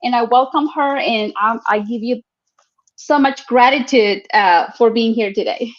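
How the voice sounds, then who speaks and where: A woman hosts a live-streamed talk show and introduces her guest with enthusiasm. Her voice is notably high, and little laughs slip in between phrases. The sound comes through dull, lo-fi remote call audio. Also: measured pace. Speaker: show host.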